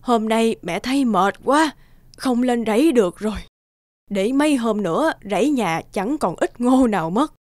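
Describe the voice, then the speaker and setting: High-voiced doting village mother acted by a woman. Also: lively intonation, moderate pace, no echo, studio quality, natural voice. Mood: weak, pleading. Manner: gentle, plaintive, coddling. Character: poor, doting, weary, self-sacrificing village mother. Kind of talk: children's folk-tale cartoon dubbing. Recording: music behind the voice.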